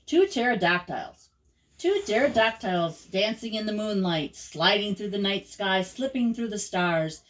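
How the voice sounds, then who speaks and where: An older woman, a grandmother, reading children's rhyming poems aloud in an expressive, rhythmic, theatrical way. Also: medium pitch, unhurried, low-quality recording.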